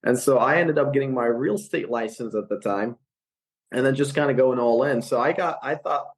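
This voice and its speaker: A man's voice: medium pitch, fairly quick. Young guy from iraq, guest on a podcast, earnest and chatty, lots of ums and restarts. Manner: earnest storytelling with frequent fillers and restarts. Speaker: guest, real estate investor. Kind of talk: casual podcast interview. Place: California.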